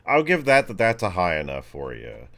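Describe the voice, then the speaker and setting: A man's voice: sits low, huge pitch swings, normal speed, a bit bright. A man improvising narration as game master of a tabletop game, talking in a casual, rambling way.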